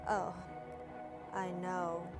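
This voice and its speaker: A woman's voice: lowish voice, completely flat delivery, drawn-out words, over music. A woman acting a sweet, starstruck yet bloodthirsty cult member in a scripted comedy. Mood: sweetly fawning. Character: sweet, starstruck, bloodthirsty female cultist fan.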